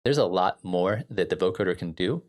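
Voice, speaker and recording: A man giving a casual software tutorial as a music production instructor, explaining things in an informal way. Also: sits low, animated, moderate pace.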